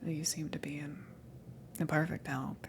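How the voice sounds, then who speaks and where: A woman playing a friendly, awkward alien salvage worker in a whispered ASMR roleplay, rambling casually in a soft, breathy, halting way. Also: sits low, flat delivery, slight background noise. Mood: casual, uneasy. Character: friendly big-headed, tailed alien salvage crew member, awkward, easygoing.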